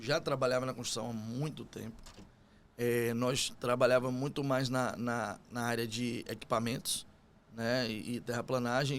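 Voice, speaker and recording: A man's voice: medium pitch, a bit bright, slight background noise. A man from Salvador, Brazil, with a Bahian accent, telling his story conversationally as an interview guest. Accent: Bahian Brazilian Portuguese. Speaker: podcast guest, construction business owner.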